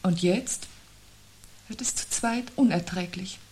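An actress playing a sardonic, sceptical wife in a 1970s radio drama, speaking with dry, pointed irony. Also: female voice, mid-range voice, takes it slowly, a little mellow, a little hiss or hum, close to an everyday voice. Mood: bitter. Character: sardonic, sceptical wife parrying her husband's unease.

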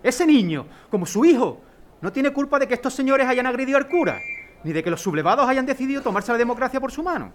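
A man playing a principled city mayor, courteous and measured yet firm. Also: very high-pitched, animated, a little hiss or hum. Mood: firme. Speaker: mayor in a radio drama. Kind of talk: amateur radio drama acting.

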